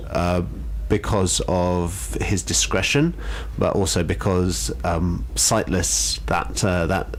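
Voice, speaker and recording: A man speaking as a guest storyteller, polite and measured, explaining and reading aloud with some hesitant phrasing. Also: sits low, a little hiss or hum.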